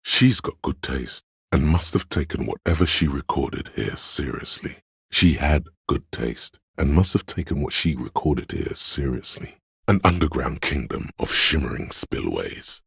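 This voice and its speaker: A man voicing a snobbish inner voice of artistic taste in a video game, gravelly and husky, with a pompous, deliberate, theatrical delivery. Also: a bass voice, expressive, fairly bright tone, no room sound, studio quality, full character voice. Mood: musing, theatrical. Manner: pompous, deliberate, disdainful, florid. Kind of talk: scripted game narration, theatrical.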